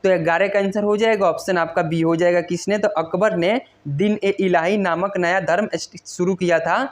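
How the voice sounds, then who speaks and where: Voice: medium pitch, fairly even intonation, moderate pace. An exam-prep teacher reading quiz questions and giving answers in a repetitive, instructional way.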